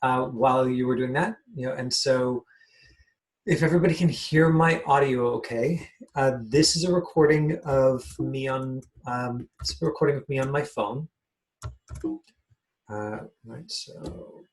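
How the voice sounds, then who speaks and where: Man, class host, medium pitch, casual demonstration talk, hesitant, halting, repetitive phrasing. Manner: hesitant, halting, repeating himself. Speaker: class host and acting coach.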